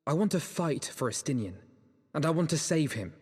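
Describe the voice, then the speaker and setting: A male actor playing an earnest, resolute young nobleman in a video game, speaking in formal, solemn, measured phrases. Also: a little high, lively intonation, a little mellow, husky, long reverb tail. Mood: solemn, resolved. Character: earnest, resolute young nobleman vowing to save his friend. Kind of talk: dramatic video game cutscene acting.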